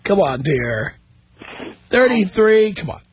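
A man's voice: sits high, very animated, slow pace, a crowd in the background. A man hosting a call-in talk radio show, teasing and blunt with his callers in a chatty studio manner. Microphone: studio microphone.

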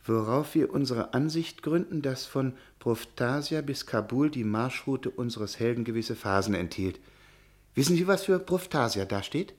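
A man acting in a German radio play as an earnest, timid scholarly professor, polite and pedantic, with a husky voice. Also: mid-range voice, animated, moderate pace, lightly acted. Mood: pedantic, worried.

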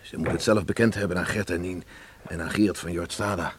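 An older man acting in a radio drama as a proud, worried farmer and father, speaking in a halting, folksy way. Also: deep voice, fairly even intonation, background noise, lightly acted. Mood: troubled, hesitant. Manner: halting, folksy, addresses son in third person.

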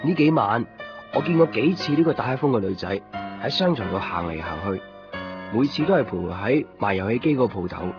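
An actor playing a wistful young shopkeeper, narrating a radio drama in Hong Kong Cantonese in a reflective, measured way. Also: male voice, mid-range voice, lightly acted. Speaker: narrator and lead character. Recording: muffled 1990s radio drama recording. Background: guitar music.

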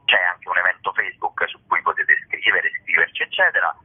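Deep-voiced, husky man on a muffled line. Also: fairly even intonation, brisk. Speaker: theatre festival organiser and presenter. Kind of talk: informal promotional interview. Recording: muffled lo-fi phone-like radio line.

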